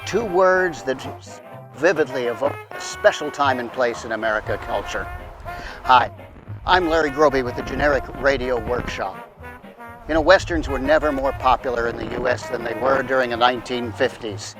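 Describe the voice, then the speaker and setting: A male host reads a scripted radio show introduction in a medium-pitched voice. Music plays clearly behind him. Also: normal speed, rough recording.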